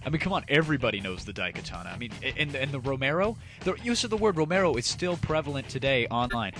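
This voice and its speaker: A man's voice: mid-range voice, lively intonation, quick pace, fairly bright tone. A man hosting an online gaming comedy show, delivering a scripted, crude and sarcastic rant in a theatrical, mock-grandiose way. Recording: music under the voice. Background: music.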